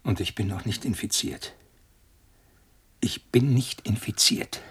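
A man's voice: lowish voice, measured pace, fairly bright tone, husky, full character voice. An actor in a German radio play playing a cornered male scientist, agitated, sarcastic and defiant. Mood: grimly defiant.

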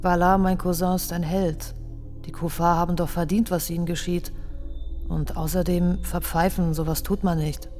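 A woman's voice: on the low side, level tone, normal speed, darkish tone, soft background music, close to an everyday voice. An actress in a radio play, playing an unstable, naive and defiant young radicalized woman.

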